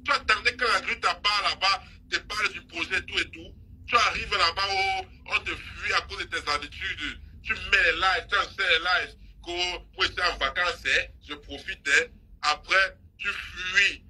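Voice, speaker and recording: Bright, raspy woman in a scolding rant. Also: on the low side, moderate pace, poor audio. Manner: scolding, mocking, insistent repetition. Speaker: social media live host. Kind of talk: gossip call-out rant on a live stream.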